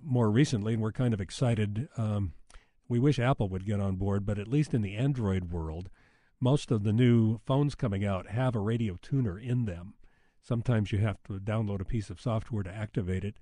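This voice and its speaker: A man's voice: lowish voice, dark tone, no room sound, clean studio sound. An American man explaining technical matters in an interview in a plain-spoken, informal way. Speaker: radio station chief engineer.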